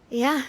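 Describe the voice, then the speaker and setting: A woman voicing a cheerful, confident young noblewoman who laughs easily and turns wistful when reflecting, in a video game. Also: a little hiss or hum. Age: young adult. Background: soft game music.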